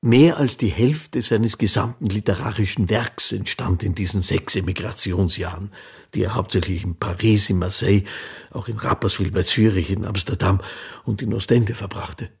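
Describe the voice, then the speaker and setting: A man's voice: on the low side, moderate pace, vocal fry, husky, full character voice. A man narrating a radio play with sober, factual, scripted biographical delivery.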